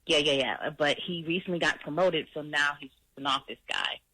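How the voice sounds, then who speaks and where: A woman's voice: low-pitched, moderate pace, bright, forceful tone, breathy edge, no room sound, low-quality recording. A woman calling in to a radio show and explaining her situation, sometimes repeating her words as she starts. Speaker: caller. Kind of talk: phone-in chat.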